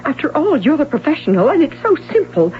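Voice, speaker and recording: High, lively-voiced frantic mother, insistent, old radio drama. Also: fast. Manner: insistent, urgent. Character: worried, insistent missing girl's mother. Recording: dull lo-fi radio broadcast, faint hiss.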